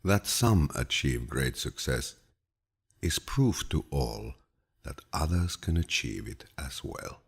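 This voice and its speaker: A man narrates an audiobook of quotations in an extremely deep, gravelly voice with a husky grain. His delivery is calm and measured, like a grandfather passing on wisdom, and his intonation rises and falls expressively. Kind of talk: scripted audiobook reading of quotations.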